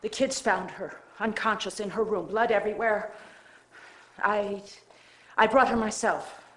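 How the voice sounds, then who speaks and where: A woman's voice: mid-range voice, steady tone, a little slow, close to an everyday voice. A woman playing an anxious, defensive boarding school headmistress in a video game. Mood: worried. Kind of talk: FMV game acting.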